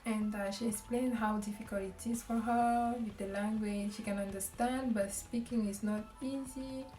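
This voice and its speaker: A woman's voice: medium pitch, steady tone, warm tone. A young Cameroonian woman chatting to camera and giving tips, stopping often for an um and starting lines over. She sits a little back from the mic in a room with plenty of echo. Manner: halting, many ums and restarts. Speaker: vlogger. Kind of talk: casual advice talk to camera. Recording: echoey room, voice a bit far from the mic.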